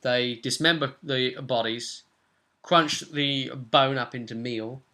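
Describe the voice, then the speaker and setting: Bright-voiced young man recapping a game. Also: medium pitch, slow pace. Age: young adult. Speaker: host and game master. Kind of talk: casual recap of a tabletop role-playing session. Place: small room at home, indoors.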